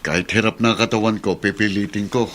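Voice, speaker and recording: Actor as a weary, low-voiced uncle, noisy recording. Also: male voice, fairly even intonation, fairly quick, a bit bright, natural voice. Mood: exasperated, defensive. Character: weary, patient middle-aged uncle and working husband. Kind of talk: Filipino radio drama acting.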